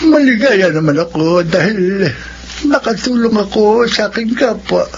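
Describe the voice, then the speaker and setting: A man's voice: high voice, measured pace. A man playing a kindly, lonely old grandfather in a Filipino radio drama. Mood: grateful, wistful. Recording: dull radio audio, faint noise.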